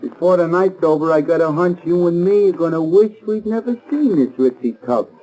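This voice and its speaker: Man as a nervous, dark-voiced gangster sidekick. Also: on the high side, brisk, faint music behind, natural voice, rough recording. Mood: uneasy. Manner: jittery, slangy tough talk. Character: Trigger, nervous superstitious male gangster sidekick. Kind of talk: 1930s radio drama acting.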